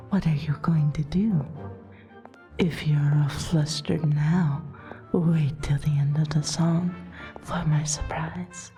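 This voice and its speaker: A woman playing a flirtatious, courtly vampire royal in an ASMR roleplay, teasing and breathy. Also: sits low, warm tone.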